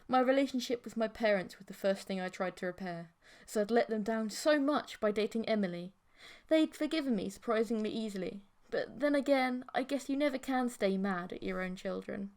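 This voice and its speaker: A woman's voice: mid-range voice, darkish tone. A woman reading a first-person story as an audiobook narrator, with dramatic scripted delivery.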